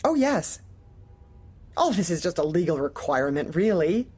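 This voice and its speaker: A woman's voice: on the low side, sing-song, moderate pace, darkish tone, breathy edge. A woman playing a manipulative, officious corporate manager in a darkly comic audio drama, speaking with bland politeness and veiled menace. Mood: politely insistent. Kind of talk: audio drama acting, dark comedy.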